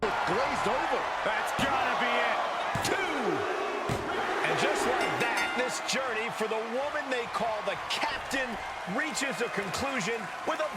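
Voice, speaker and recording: A man playing an excited television wrestling commentator in a video game. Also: very high voice, unhurried. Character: excited male TV wrestling commentator calling a victory. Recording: loud crowd and music behind.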